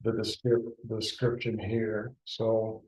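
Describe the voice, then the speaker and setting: A man leading a town board meeting, talking haltingly with many restarts. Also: on the low side, completely flat delivery, darkish tone. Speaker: presiding board trustee. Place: town hall meeting room. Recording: dull lo-fi meeting recording.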